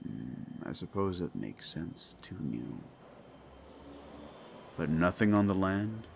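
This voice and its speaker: A man playing a gruff, weary demon investigator of the paranormal, thinking out loud in an improvised audio roleplay. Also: deep voice, big pitch swings, takes it slowly, a little hiss or hum, full character voice. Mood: pensive, probing. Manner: musing, conversational, trailing questions. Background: background music.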